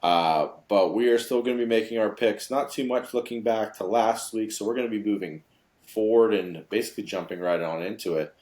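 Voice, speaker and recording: A man's voice: on the low side, a little fast, a little mellow. A man hosting a sports podcast in an upbeat, easygoing way with many fillers. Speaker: podcast host. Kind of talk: casual sports chat.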